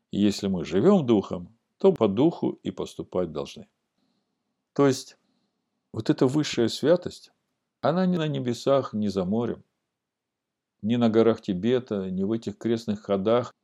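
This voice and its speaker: Low, mellow male scripture teacher. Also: animated. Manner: didactic, deliberate, stresses and spells out key words. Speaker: religious teacher giving a scripture lesson. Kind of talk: sermon-like scripture teaching, mixing explanation and reading aloud.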